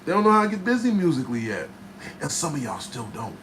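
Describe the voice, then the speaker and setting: A man from New Jersey, a rapper, telling a studio story in casual, profane style with a raspy voice, often asking "right?". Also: on the high side, fast. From New Jersey, USA. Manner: animated, profane, keeps checking in with "right?". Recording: noticeable background noise.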